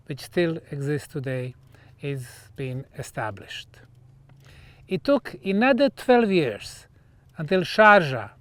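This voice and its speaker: A man presenting to camera in a documentary style, speaking in measured short phrases with pauses between them. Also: on the high side, a bit bright, clear, resonant tone, no room sound. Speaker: researcher presenting on location.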